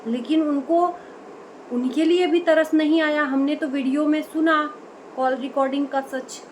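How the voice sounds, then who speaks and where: A woman's voice: sits high, fairly even intonation, a little slow, darkish tone, low-quality recording. A woman from India giving opinionated vlog commentary in an emphatic way, pressing her points with rhetorical questions. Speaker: online commentator. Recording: noticeable background noise.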